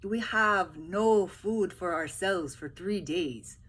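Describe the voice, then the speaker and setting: A woman voicing fearful, stingy peasants in a storybook read-aloud, exclaiming loudly. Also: on the low side, slow, breathy edge, full character voice. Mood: refusing. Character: fearful, stingy peasants refusing soldiers food.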